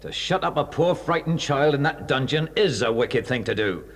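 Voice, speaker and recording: An actor playing a genial British police inspector. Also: male voice, mid-range voice, lively intonation, moderate pace, lightly acted. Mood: stern. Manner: genial, fussy, with ums. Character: hearty, kindly police inspector, middle-aged man. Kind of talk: 1980s audio drama acting.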